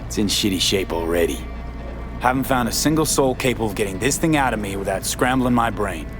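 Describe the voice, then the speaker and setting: A man's voice: on the low side, lively intonation, brisk, natural voice. An actor playing a tough, cynical male street mercenary with an American accent and terse, wary delivery in a video game. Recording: music behind the voice.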